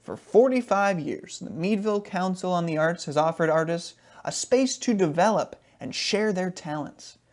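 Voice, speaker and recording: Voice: mid-range voice, expressive, moderate pace, hardly any pauses. An announcer reading a scripted introduction and credits in a formal presenting manner.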